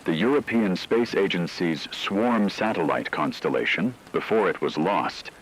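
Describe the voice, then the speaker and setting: A man playing a grave, formal radio newscaster delivering a measured, scripted emergency broadcast in a radio drama. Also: deep voice, slightly rough, heavily acted, poor audio. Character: grave male radio newscaster announcing planetary catastrophe. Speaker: broadcast announcer.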